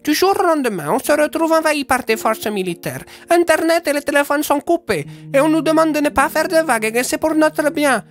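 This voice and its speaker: A man's voice: extremely high pitch, lively intonation, brisk, hardly any pauses, pitched above the performer's own voice. A man voicing a worried, earnest townswoman in an audio drama. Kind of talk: audio drama character dialogue.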